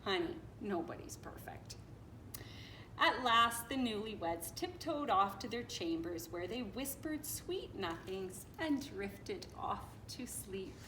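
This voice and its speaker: A woman reads a storybook aloud in a fairly high, very lively voice. She is playful and dramatic, adding small asides as she goes. The recording is roomy, with noticeable noise behind her. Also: slow pace, hardly any pauses. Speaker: storyteller reading a picture book aloud. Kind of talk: animated children's read-aloud with asides. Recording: roomy echo with clear background noise.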